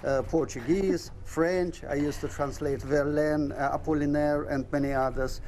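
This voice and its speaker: A man's voice: on the high side, steady tone, moderate pace, a little mellow. A man, answering interview questions in a thoughtful way with many fillers, in a busy newsroom. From Uzbekistan. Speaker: editor, interviewee. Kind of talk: live news interview. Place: busy open-plan newsroom.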